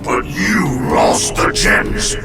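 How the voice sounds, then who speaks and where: An ancient, tyrannical mask spirit from a video game. The voice is extremely gravelly and booming, dragging out grandiose threats at a very slow pace, with wild swings in pitch. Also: male voice, huge pitch swings, heavily acted. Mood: menacing fury. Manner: grandiose, shouting, drawn-out menacing delivery. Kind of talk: video game villain acting. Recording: echoing distant voice under loud music.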